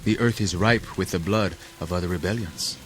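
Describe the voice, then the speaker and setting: Measured, sceptical rebel strategist. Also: male voice, low voice, a bit bright, a little hiss or hum, low-quality recording. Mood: sober, cautioning. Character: pragmatic, sceptical male rebel, thoughtful strategist. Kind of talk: radio drama acting.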